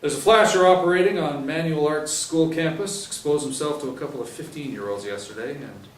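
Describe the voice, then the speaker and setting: A man playing a stern male police sergeant, raised pitch, clipped commands, crime story reading, roomy sound. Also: brisk, close to an everyday voice. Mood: stern, commanding. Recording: roomy, distant mic, faint noise.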